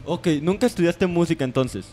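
A man's voice: fairly high pitch, animated, fairly quick, a little mellow, a little hiss or hum. A man co-hosting a casual radio interview, rephrasing his questions as he goes. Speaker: co-host interviewer.

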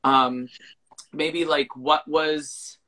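Podcast co-host with a bright, forward voice; relaxed, chatty interviewer. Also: medium pitch, very slow, low-quality recording. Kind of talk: casual interview.

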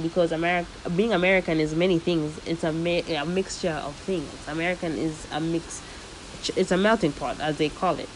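Young woman podcast host, fairly low voice, loose and lively, sprinkles in Swahili slang. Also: slight background noise, poor audio. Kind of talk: casual solo podcast monologue. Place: living in the United States.

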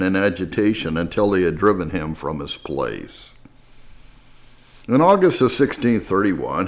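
A man narrating a historical text in a measured, formal audiobook style. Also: lowish voice. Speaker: narrator reading history aloud.

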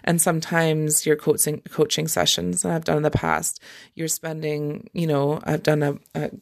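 Creaky-voiced podcast coach. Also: medium pitch, little pitch movement, normal speed. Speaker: life coach hosting a podcast. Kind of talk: informal solo podcast talk about coaching.

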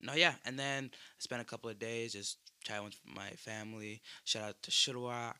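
A man's voice: medium pitch, brisk, clean tone, no echo. A young man co-hosting a podcast, chatting casually with lots of slang and laughter. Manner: laughing, slangy, animated storytelling. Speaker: podcast co-host. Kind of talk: casual chat.

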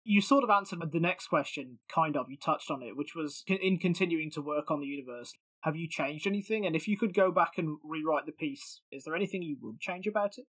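A man's voice: on the high side, brisk, few pauses, fairly bright tone, low-quality recording. A man hosting a podcast and asking interview questions in a conversational way.

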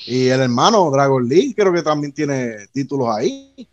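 A man's voice: mid-range voice, big pitch swings, moderate pace, no room sound, muffled recording. A man, co-hosting a casual wrestling chat and bluntly repeating his point. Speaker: wrestling podcast co-host.

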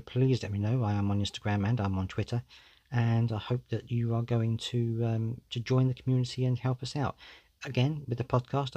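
A man's voice: medium pitch, mellow, dry recording. A man, hosting a solo podcast in a candid, conversational way, often saying "you know". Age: 40s. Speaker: podcast host.

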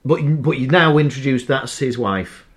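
A man's voice: mid-range voice, lively intonation, normal speed. A man in casual podcast chat, talking freely and swearing now and then. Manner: chatty, swears freely, acts out quotes. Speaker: podcast co-host. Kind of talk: casual chat recapping a TV episode.

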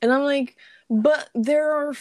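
Slow-talking Brazilian teen girl. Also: high voice, crowd noise. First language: Portuguese. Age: teens. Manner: unhurried, chuckling, self-mocking. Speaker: podcast co-host. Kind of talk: casual chat.

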